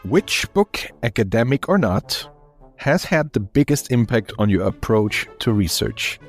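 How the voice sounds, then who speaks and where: Austrian man hosting, lively pitch. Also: a little slow, faint music behind. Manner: friendly, upbeat, with frequent ums. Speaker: podcast host. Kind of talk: podcast interview and outro.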